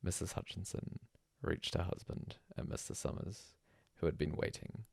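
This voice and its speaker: A man's voice: low-pitched, normal speed, creaky, a little mellow, slightly rough, no echo, studio quality. A man reading a scripted bedtime story aloud as a narrator.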